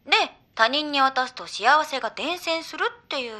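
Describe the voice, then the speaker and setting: An excitable young female idol in an anime audio drama. Her high, bright voice moves freely up and down. She speaks in girlish slang, reacting to whatever her friend says. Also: normal speed. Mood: cheerful. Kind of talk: Japanese anime audio drama, naturalistic banter.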